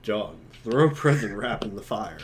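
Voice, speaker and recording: A man's voice: lowish voice, lively intonation, measured pace, a crowd in the background. A man reading short scripted commands in a webcomic dramatic reading.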